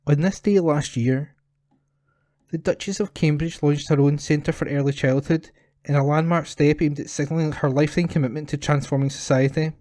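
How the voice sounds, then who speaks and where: A man reads prepared news stories aloud as a volunteer reader. His voice sits slightly high for a man, with a mellow, gentle tone. The sound is dry, with hardly any room around it. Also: steady tone, moderate pace, dry recording. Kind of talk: scripted news reading. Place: at home.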